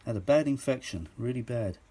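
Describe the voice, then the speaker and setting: A man's voice: mid-range voice, animated, fast, background noise. A middle-aged or older man, chatting unscripted as a vintage audio enthusiast showing a machine, rambling, exclaiming and repeating himself for emphasis. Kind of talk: casual unscripted show-and-tell chat.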